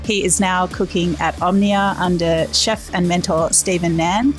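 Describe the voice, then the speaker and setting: Woman, podcast host, slightly low pitch, enthusiastic, faint background music. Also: fairly even intonation, measured pace. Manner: enthusiastic, friendly, some fillers. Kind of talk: podcast interview.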